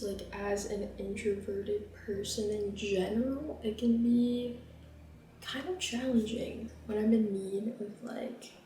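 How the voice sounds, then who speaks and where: A woman's voice: mid-range voice, dark tone, a little hiss or hum. A young woman vlogging casually while she cooks, chatty and rambling, with plenty of fillers. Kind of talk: casual chat while cooking. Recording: roomy kitchen, mic at a distance.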